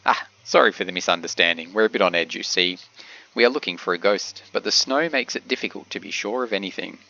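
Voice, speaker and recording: A man reading a wary soldier's lines aloud from a game, in his own congested, gravelly voice. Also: low-pitched, fast, bright, forceful tone, lo-fi sound, heavily acted. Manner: reads game dialogue aloud, plainly.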